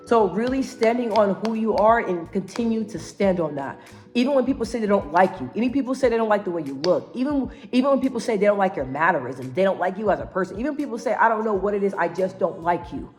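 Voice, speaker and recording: A woman's voice: mid-range voice, a little mellow. A woman giving a casual motivational talk to camera, assertive and emphatic, repeating key phrases and often checking for agreement. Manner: emphatic, repeats phrases, constant tag questions seeking agreement; assertive, self-assured. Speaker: vlogger giving self-help advice.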